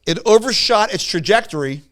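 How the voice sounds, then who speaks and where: A man's voice: sits high, expressive, a little fast, fairly bright tone. A man telling a casual anecdote on a podcast, rambling, stammering and repeating himself as he goes. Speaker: storyteller on a podcast.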